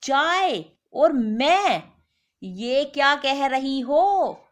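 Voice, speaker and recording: A woman voicing a lazy, whiny husband who makes indignant, self-justifying excuses in an animated moral story. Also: high-pitched, very slow, heavily acted.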